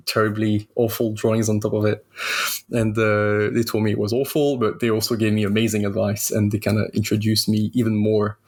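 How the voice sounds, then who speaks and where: A young man from Switzerland, a guest telling his story in a relaxed, hesitant way with many pauses. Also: fairly low pitch. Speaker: guest concept artist. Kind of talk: casual interview storytelling.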